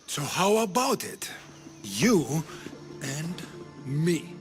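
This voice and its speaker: Game casting: high-voiced, slow, boozy Greek flirt, boisterous and slurring, music behind. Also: male voice, very animated, exaggerated voice. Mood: boozy, flirtatious. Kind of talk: video game character acting.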